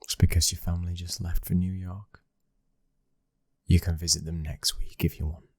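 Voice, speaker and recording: A British man playing a brooding, dominant young mafia boss's son in an intimate ASMR roleplay, speaking with slow, commanding control. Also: low voice, level tone, darkish tone, husky, no echo, clean studio sound. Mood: soothing, coaxing.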